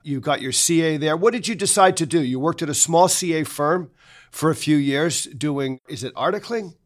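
A man's voice: a little high, moderate pace. A middle-aged man, casually interviewing as a podcast host.

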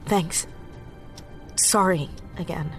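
An actress playing a distressed, apologetic young activist in an audio drama, speaking hesitantly. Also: female voice, lowish voice, slow pace, soft background music. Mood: subdued, apologetic. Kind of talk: naturalistic audio drama acting.

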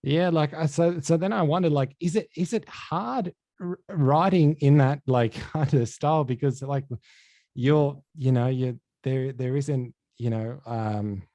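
A man interviewing a guest, working his questions out slowly with many fillers and restarts. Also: on the high side, dark tone, no room sound. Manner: rambling, halting, heavy with fillers and restarts. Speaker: podcast interviewer. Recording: dull, dry sound.

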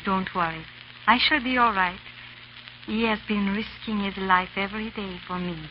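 Casting: actress as devoted, anxious noblewoman, refined and dramatic, muffled vintage radio with clear crackle. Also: mid-range voice, normal speed, close to an everyday voice. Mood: anxious, resolute. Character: anxious, brave, devoted English noblewoman wife. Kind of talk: 1930s radio drama acting.

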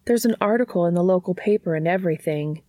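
A woman's voice: fairly low pitch, fast talker, dark tone, no echo, lightly acted. Narrator as weary woman realtor, dry close sound. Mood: weary resignation. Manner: guarded, reluctant.

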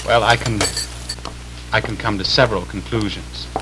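A man's voice: on the low side, fairly even intonation, moderate pace, close to an everyday voice. A man playing a troubled, doubting husband in an old-time radio soap. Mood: troubled, hesitant. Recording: old radio serial with crackling noise.

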